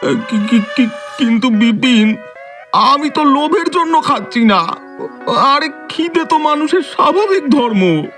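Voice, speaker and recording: Timid food-loving young fellow, Bengali accent, very high voice, slow, stammering, plaintive, comic audio drama. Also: male voice, expressive, a bit bright, faint music behind, full character voice. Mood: pleading. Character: timid, food-loving young son-in-law, whiny and pleading. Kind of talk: comedy audio drama acting.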